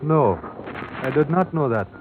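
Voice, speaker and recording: An actor playing a wary, guarded club-owning police informer in a 1950s radio drama, talking in a clipped, evasive way. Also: male voice, fairly high pitch, lively intonation, unhurried. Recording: muffled old radio recording with music.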